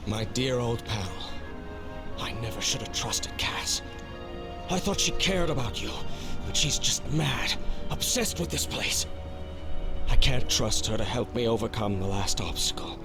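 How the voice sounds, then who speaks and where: An actor playing an anguished, obsessed husband in a dramatic video game, reading out his letter in an agitated, halting way. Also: medium pitch, animated, lightly acted. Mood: bitter, resolved. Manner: agitated, halting, self-doubting. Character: anguished, obsessed husband agonising over a desperate ritual. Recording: clear music behind the voice. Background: game music.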